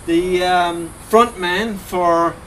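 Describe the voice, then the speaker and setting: A man's voice: high-pitched, fairly even intonation, very slow, fairly bright tone. A man hosting an interview, eager and encouraging, often chiming in with agreement. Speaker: host. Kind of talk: casual interview. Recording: noisy, from a distance.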